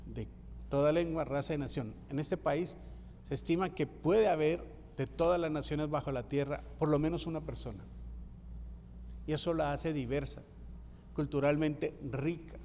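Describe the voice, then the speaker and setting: A man's voice: fairly high pitch, a little mellow, big-room sound, slight background noise. A man from Colombia, preaching a sermon as pastor, emphatic and repeating key phrases for rhythm. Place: church sanctuary.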